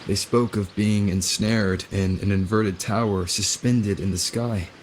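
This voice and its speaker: Actor as a low-voiced, calm adventurer. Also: male voice, measured pace, slight background noise, close to an everyday voice, poor audio. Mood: calm, reasoning. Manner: reasoned, even-tempered. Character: calm, earnest young male adventurer. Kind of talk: video game character dialogue. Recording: game music behind dialogue. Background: music.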